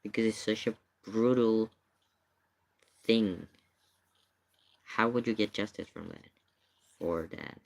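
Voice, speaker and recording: A man's voice: lowish voice, slow, vocal fry, long pauses. A man giving casual live commentary in an emphatic, questioning way, often repeating his points. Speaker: streamer reacting to paranormal investigation video.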